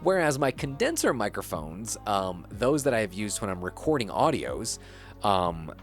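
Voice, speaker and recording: A man's voice: on the low side, sing-song, moderate pace. A man in casual chat as a gaming streamer, rambling and searching for words, sounding a little stuffy, on a dynamic streaming mic at a home setup. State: slightly stuffy. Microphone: dynamic streaming microphone. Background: faint background music.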